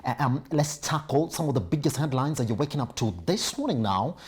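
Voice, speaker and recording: A woman presents the morning business news in an unusually deep voice with a husky edge. She reads the headlines in measured anchor style, then turns to questions for her guest. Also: lively intonation, a little mellow.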